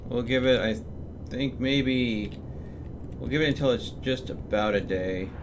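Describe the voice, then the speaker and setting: A man's voice: medium pitch, a little slow, background noise. A man doing casual live game commentary, thinking aloud to himself as he plays. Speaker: game streamer.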